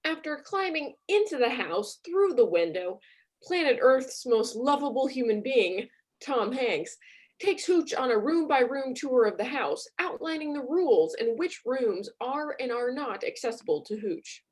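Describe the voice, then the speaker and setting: A woman's voice: high voice, animated, measured pace, a little mellow. A woman narrating a comedy story with mock-earnest grandeur.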